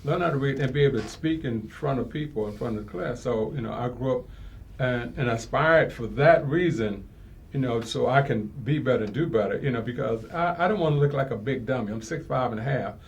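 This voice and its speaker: A man's voice: medium pitch, a little fast, gravelly, slight background noise. A man appearing as a talk show guest, giving an earnest motivational personal testimony and often rephrasing himself.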